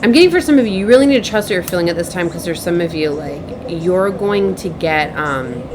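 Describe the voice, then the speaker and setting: Woman, lowish voice, online tarot reader; halting, repetitive, earnest warnings to viewers; clearly noisy ambient recording. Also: expressive. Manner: halting, repeats herself, circles back. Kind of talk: improvised spiritual message to viewers.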